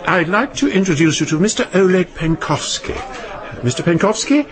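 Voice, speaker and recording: Very animated British attaché. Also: male voice, high voice, normal speed. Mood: genial. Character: genial British cultural attaché, polished social host. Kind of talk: radio drama acting. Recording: reception crowd chatter behind.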